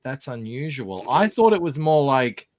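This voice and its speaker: A man's voice: mid-range voice, big pitch swings, a little slow, a little mellow, dry recording, studio quality. A man co-hosting a casual talk show, making wry, ironic asides. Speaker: podcast co-host. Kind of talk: casual chat.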